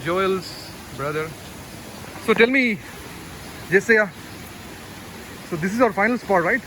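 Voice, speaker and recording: A man, chatting excitedly as he hosts a trekking vlog outdoors. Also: sits high, slow, slight background noise, poor audio. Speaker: vlogger host. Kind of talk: casual trekking vlog chat. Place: outdoors on a forest trail.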